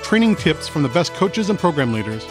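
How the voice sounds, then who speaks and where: An American man hosting an interview podcast, reading a scripted intro and then asking questions with many pauses and restarts. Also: a little high, big pitch swings, over music. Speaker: podcast host.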